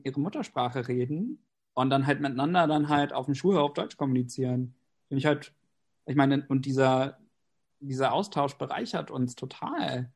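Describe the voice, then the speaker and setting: Man, english native speaker, casual talk, thoughtful, mid-pitched voice. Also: darkish tone. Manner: reflective, frequent fillers. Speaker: conversation partner. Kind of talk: casual conversation.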